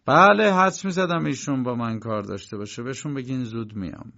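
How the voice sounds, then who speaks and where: A man's voice: mid-range voice, vocal fry, bright, forceful tone. A man voicing a reserved young male clerk who answers in a curt, restrained way. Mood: guarded. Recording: dull lo-fi recording.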